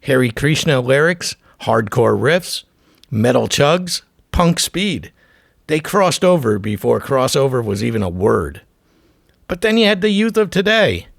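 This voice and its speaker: A man delivers scripted music documentary narration in short, clipped phrases. His pitch swings in a lively way and his voice has a gravelly fry. The delivery is irreverent and punchy, recorded very dry. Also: a little slow, no echo.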